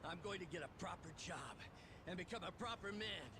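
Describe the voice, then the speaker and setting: An actor playing a timid, earnest young man in a video game drama, speaking with halting emotion. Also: sits high, animated, background noise. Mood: determined. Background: game soundtrack music.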